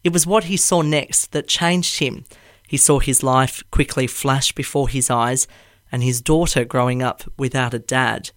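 A man hosting a podcast, reading a scripted intro and then asking gentle, supportive questions. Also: mid-range voice, steady tone, unhurried, no echo, studio quality. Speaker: podcast host.

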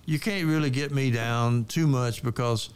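An American man hosting casual political talk radio, speaking in a measured, deliberate way. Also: mid-range voice, clean tone. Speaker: radio host. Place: radio studio.

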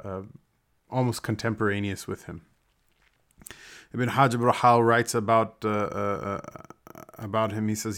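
A man with a fairly low voice gives an informal lecture as an Islamic teacher. He moves haltingly through his material, wandering into scholarly asides as he explains religious history. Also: gravelly, a bit bright.

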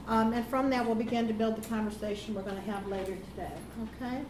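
A woman's voice: fairly high pitch, fairly even intonation, fast talker. Woman chairing a live panel. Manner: informal, with fillers. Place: event hall with live audience. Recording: echoey hall, background noise.

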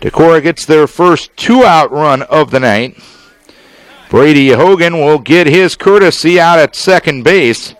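A man with a slightly high-pitched voice delivers live baseball play-by-play in a dry, close sound. He works as a radio announcer, using clipped, measured phrases and deliberate pauses between them. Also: clean tone, no echo, poor audio. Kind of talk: live sports play-by-play commentary.

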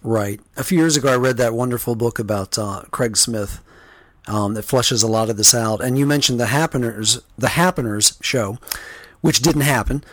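A man's voice: mid-range voice, normal speed, fairly bright tone, no room sound. A man hosting a podcast interview, chatting casually and agreeing readily with his guest.

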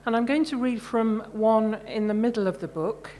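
A middle-aged woman, an author reading her own short fiction at a live event, measured and carefully phrased. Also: a little high, mellow, a little hiss or hum.

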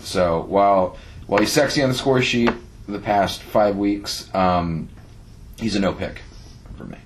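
A man's voice: on the low side, a little slow, a little hiss or hum. A man co-hosting a casual fantasy football podcast, playful and teasing, laughing easily. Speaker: podcast co-host.

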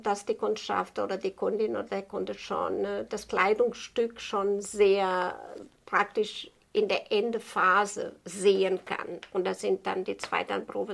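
A woman in her fifties with a Portuguese accent and a noticeably creaky voice. She explains her craft in an unscripted interview, speaking rather slowly and haltingly as a native Portuguese speaker searching for words. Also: medium pitch. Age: 50s. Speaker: tailor being interviewed.

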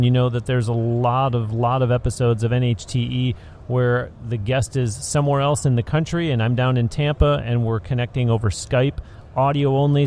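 A man's voice: medium pitch, darkish tone, slight background noise. A man hosting a podcast interview, talkative and explanatory in long run-on sentences, recorded on location into a handheld recorder. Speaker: podcast host. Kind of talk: interview conversation. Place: on location, indoors. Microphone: studio mic into handheld recorder.

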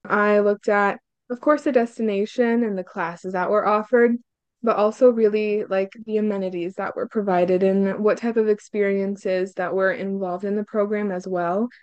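A woman's voice: mid-range voice, fairly even intonation, normal speed, darkish tone, clean tone, dry recording, highs cut off. Young woman student from hungary chatting, lots of likes and ums, dry close audio. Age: young adult. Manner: upbeat, frequent "like" and "um" fillers. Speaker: student guest. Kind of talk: casual interview answers.

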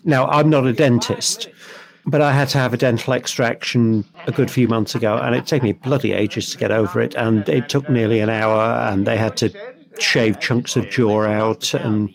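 A man's voice: mid-range voice, steady tone, moderate pace, gravelly. A man from England co-hosting a podcast, chatting in an easygoing way as an episode plays. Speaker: podcast co-host. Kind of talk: casual commentary while watching.

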